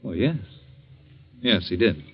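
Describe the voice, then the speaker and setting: An actor playing a curt, evasive, easily exasperated husband in a 1940s radio mystery. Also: male voice, lowish voice, very animated, faint music behind. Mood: brisk, casual. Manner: brisk, clipped, easily exasperated. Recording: dull vintage 1940s radio recording.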